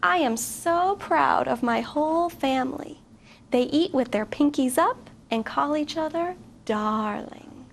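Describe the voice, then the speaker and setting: A middle-aged woman teacher reading a picture book aloud to children, expressive and asking young listeners questions. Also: high-pitched, measured pace, creaky, slight background noise. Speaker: guest reader, teacher.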